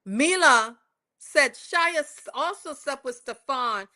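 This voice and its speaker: A woman's voice: high voice, lively intonation, slow, pushed and bright. A woman with a West Indian accent hosting a livestream, chatting casually and rambling with lots of self-corrections as she recaps court testimony. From West Indies. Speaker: livestream host.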